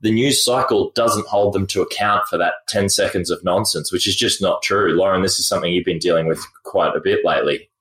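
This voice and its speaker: A man's voice: low-pitched, steady tone, a little fast, few pauses, a bit bright, reverberant, rough recording. A man hosting a podcast, speaking in an emphatic, indignant way. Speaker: podcast host. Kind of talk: casual podcast chat.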